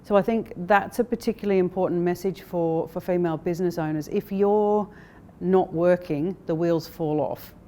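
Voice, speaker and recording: A woman speaking as a company director in a corporate interview, explaining things in a measured way. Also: on the low side, dark tone. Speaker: interviewee, managing director of an investment firm.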